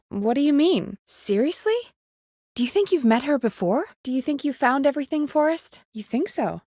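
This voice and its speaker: A young female radio producer in a video game, with a high voice and a close, dry sound. She is earnest and capable but anxious, speaking naturally with small hesitant asides. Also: no echo. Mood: curious, thoughtful.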